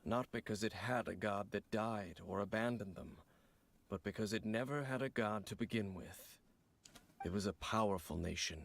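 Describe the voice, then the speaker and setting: An actor playing a composed, secretive, knowing man in an anime-style video game, speaking in a measured, solemn, formal way. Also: lowish voice, gravelly. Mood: grave, proud.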